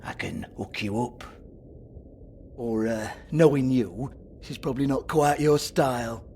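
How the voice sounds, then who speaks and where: A man's voice: mid-range voice, measured pace, gravelly, dark tone, slight background noise. An actor playing a bullying, sleazy male brothel boss in a video game, swearing, mocking and berating. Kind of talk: video game cutscene acting.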